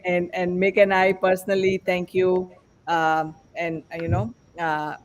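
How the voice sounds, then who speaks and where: A man hosting a panel discussion as podcast host, speaking in a halting, rambling way. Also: high voice, monotone, slight background noise.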